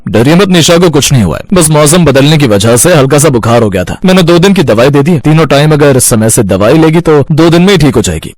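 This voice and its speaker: A man's voice: on the high side, animated, fairly quick, few pauses, a little mellow, no room sound. A man voicing a reassuring male doctor in a story.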